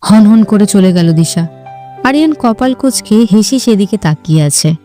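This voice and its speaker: A woman's voice: mid-range voice, animated, normal speed, warm tone, soft background music. A woman reading scripted narration for an audio love story.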